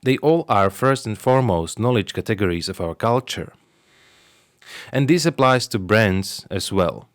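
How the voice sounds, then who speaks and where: A man with a Slovak accent talks through a scripted explainer in English, his first language being Slovak. His voice sits on the low side and is recorded close and dry, with no room sound. He reads in a measured, careful way. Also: animated, dry recording. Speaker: sociologist and marketer presenting his channel.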